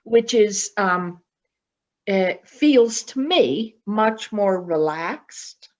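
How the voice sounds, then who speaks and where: A woman chatting and telling personal stories in a slow, deliberate way over a video call. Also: mid-range voice, a little mellow. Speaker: podcast guest. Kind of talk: casual conversational storytelling. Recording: dull, lo-fi video call audio.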